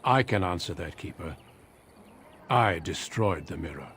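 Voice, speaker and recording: An actor playing a calm, serious veteran warrior in a fantasy video game, speaking formally and deliberately. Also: male voice, deep voice, slight background noise. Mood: grave, reserved.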